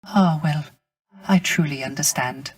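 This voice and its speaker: A man playing a gentle, melancholy male musician of the underworld in a video game, speaking formally and courteously with wistful, poetic, old-fashioned phrasing. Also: a little high, unhurried, husky. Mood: courteous, reserved. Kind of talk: scripted video game character acting.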